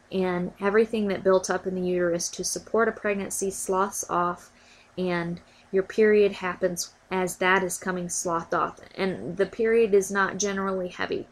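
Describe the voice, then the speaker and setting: A woman's voice: mid-range voice, low-quality recording. A woman hosting a podcast, talking casually and a little stuffy from a cold, often repeating words as she thinks. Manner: warm, informal, repeats words while thinking. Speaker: podcast host. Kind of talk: casual educational podcast talk.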